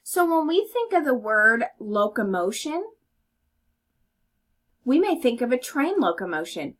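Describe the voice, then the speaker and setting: A woman with a fairly high voice reads a picture book to children. She is instructive, explaining words and inviting listeners to think. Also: big pitch swings, unhurried. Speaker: storybook reader and teacher. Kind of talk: children's read-aloud with explanations and questions.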